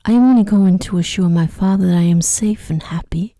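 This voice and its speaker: A woman voicing a kind, earnest young fairytale heroine, softly and in a hushed ASMR storybook reading. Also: on the low side, a little fast, no room sound, studio quality, close to an everyday voice.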